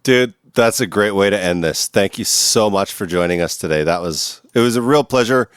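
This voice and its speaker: A man's voice: fairly low pitch, normal speed, clean tone, no echo, clean studio sound. Easygoing man hosting. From Vancouver, Canada. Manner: easygoing, swears, laughs often. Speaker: podcast host. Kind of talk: casual interview chat.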